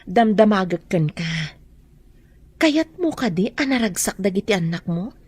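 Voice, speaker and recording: An actress playing a concerned, cautious, protective friend in an Ilocano radio drama, with a husky voice. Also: female voice, mid-range voice, expressive, unhurried, a little mellow, no echo. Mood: cautious, earnest.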